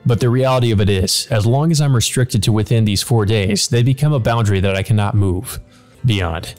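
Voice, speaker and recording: A proud, brooding combat mage, read aloud by a man. The voice sits fairly low and speaks gravely and deliberately through a visual novel monologue, with soft music underneath. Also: lightly acted.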